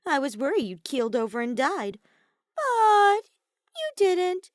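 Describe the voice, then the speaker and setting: A woman's voice: sits high, sing-song, measured pace, no echo, studio quality, voice pushed up. A woman voicing a mocking, ominously cheerful teenage boy villain in an audiobook, with sneering, sing-song delivery. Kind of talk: character voice in fan fiction audiobook.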